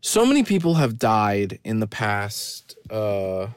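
A man giving a rambling, deadpan and self-mocking running commentary from a parked car, recorded on his phone. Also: fairly low pitch, takes it slowly, clean tone, dry recording, clean studio sound. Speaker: podcast host. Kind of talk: rambling off-the-cuff monologue. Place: parked car in a store parking lot.

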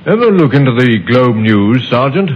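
An actor playing a brisk, shrewd British police inspector in a radio drama, clipped and authoritative, on an old recording. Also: male voice, mid-range voice, sing-song, highs cut off, background noise, close to an everyday voice. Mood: commanding. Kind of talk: 1950s radio drama acting.

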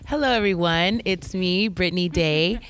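A woman's voice: on the low side, moderate pace, a bit bright, rough recording. An American woman introducing herself casually as a podcast guest. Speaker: podcast guest, social worker.

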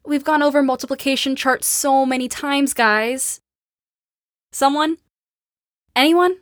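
An actress playing a witty, sarcastic young schoolteacher in a post-apocalyptic audio drama, with a wry, bantering manner. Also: female voice, high-pitched, steady tone, measured pace, no echo. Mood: encouraging, lively. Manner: wry, dry-humored, bantering. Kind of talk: naturalistic audio drama acting.

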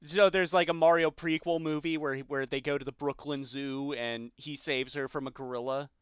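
Clear, dry-sounding man talking casually. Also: a little high, steady tone, normal speed, fairly bright tone, no echo. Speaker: podcast co-host. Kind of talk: casual film and game discussion.

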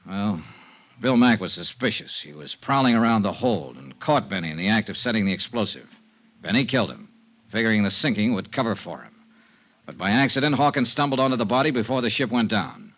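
An actor playing a tough, wry insurance investigator in a 1950s American radio drama, clipped and matter-of-fact. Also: male voice, lowish voice, lively intonation, fairly quick, fairly bright tone. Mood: assured, reasoning. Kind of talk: 1950s radio detective acting. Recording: vintage 1950s radio broadcast.

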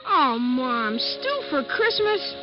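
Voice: sits high, very animated, slow pace, exaggerated voice. A performer voicing a sweet, eager small American boy in a mid-century radio drama. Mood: sweet, plaintive. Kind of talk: 1950s radio drama acting. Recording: muffled old radio recording, music behind.

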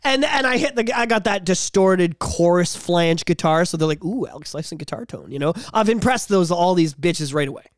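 A young man co-hosting a casual podcast, telling animated stories, swearing freely and humming bits of songs. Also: sits high, a little fast, few pauses, no room sound, clean studio sound. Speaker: podcast co-host and musician.

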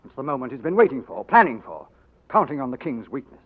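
An actor playing a loyal courtier who speaks in a brisk, matter-of-fact way, in 1940s radio acting. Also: male voice, mid-range voice, sing-song. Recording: tinny old radio broadcast.

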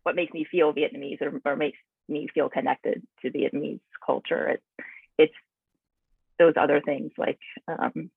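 A woman answers an interviewer, reflective and unhurried as she searches for the right words. Her voice is breathy and a little husky, and it comes through a thin, phone-like line with no room sound at all. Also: low voice, steady tone, dry recording. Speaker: naturalist and author, interview guest.

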